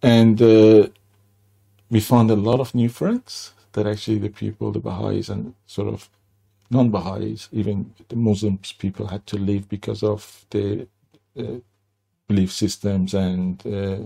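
An older man from Iran with a Persian accent, telling his life story as an interview guest in a halting way, with many restarts. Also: on the low side, level tone, vocal fry, dark tone, breathy edge.

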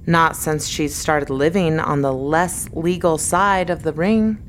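A woman's voice: low voice, fairly even intonation, takes it slowly, a little hiss or hum. A woman narrating a scripted dramatic story as the narrator of an audio drama.

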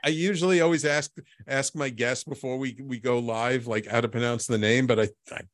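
A man's voice: medium pitch, steady tone, a little fast, no echo, clean studio sound. A man hosting a radio talk show, chatting with a guest in an eager, stammering way, often repeating his words. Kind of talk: casual interview chat.

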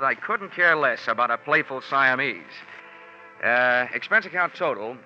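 Hard-boiled male investigator: higher-set voice, deadpan, sardonic, dull vintage radio sound with music behind. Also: exaggerated voice. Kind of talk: 1940s radio detective acting and narration.

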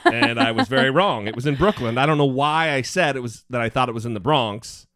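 Goofy-voiced animated man, dry close mic. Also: medium pitch, fairly quick, no room sound. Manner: animated, sarcastic, playful teasing. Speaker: podcast co-host. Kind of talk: casual political chat.

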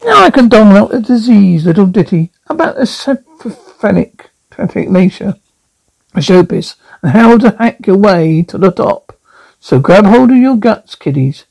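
Cackling ghoul host; shrill, gravelly, macabre puns, big swoops. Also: male voice, sing-song, moderate pace, darkish tone, no room sound, exaggerated voice, low-quality recording. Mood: gleeful. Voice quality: raspy, shrill. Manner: punning, theatrical, relishing the macabre. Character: cackling ghoulish male horror host, gleeful. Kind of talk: horror TV drama.

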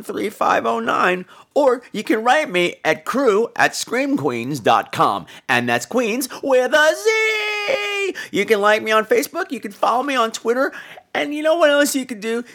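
A man chats as a podcast host in a fairly high voice. His pitch swoops up and down with campy, theatrical flair, and he talks casually and cheekily to his listeners. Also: fairly bright tone. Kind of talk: casual podcast sign-off chat.

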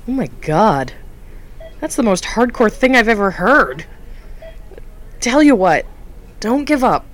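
A woman plays an eager, friendly young coordinator who has just been promoted. Her pitch moves freely up and down as she talks. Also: sing-song, takes it slowly. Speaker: foundation coordinator. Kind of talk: audio drama acting.

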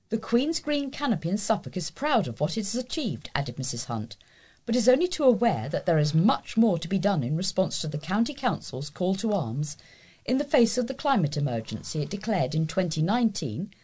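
A woman's voice: mid-range voice, darkish tone, slightly rough. A woman reading scripted local news stories as a volunteer newsreader.

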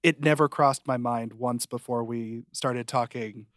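Mid-pitched man in casual podcast conversation. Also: fairly even intonation, measured pace, dry recording, clean studio sound. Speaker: podcast co-host. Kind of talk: casual TV review podcast chat.

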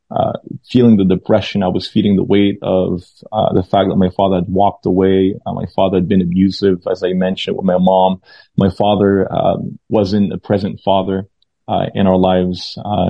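A man in his thirties from Lebanon speaks low and gravelly, keeping his pitch fairly flat. He tells his story hesitantly, stopping often for fillers and starting his sentences over. The audio is dull and dry. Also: dry recording. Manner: hesitant, many fillers and restarts. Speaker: pastor and guest. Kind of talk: testimony interview.

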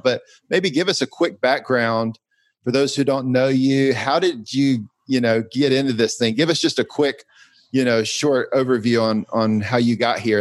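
A man's voice: mid-range voice, dry recording. A man hosting a podcast interview, asking a guest for his background. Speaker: podcast host. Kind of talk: interview questions.

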